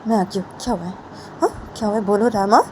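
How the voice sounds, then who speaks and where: A woman's voice: medium pitch, quick pace, darkish tone, a little hiss or hum, voice pushed up, natural voice, poor audio. A woman voicing a tearful mother who keeps asking her crying daughter what happened, coaxing and repeating herself. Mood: soothing, anxious. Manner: coaxing, repeats soothing questions.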